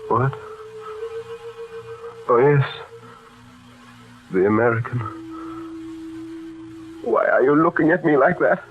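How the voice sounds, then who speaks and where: A gaunt, obsessed wounded man of a 1940s radio play, voiced by an actor. He speaks slowly and haltingly, brooding on his fate. The sound is tinny and narrow, with music behind. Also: medium pitch, close to an everyday voice. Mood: fevered, ominous. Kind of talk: 1940s radio drama acting.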